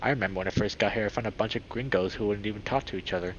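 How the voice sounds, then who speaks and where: A man's voice: lowish voice, rapid-fire, natural voice. A man voicing a confident young male wolf in a visual novel, casual, fond and teasing. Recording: dull lo-fi mic with clear background noise.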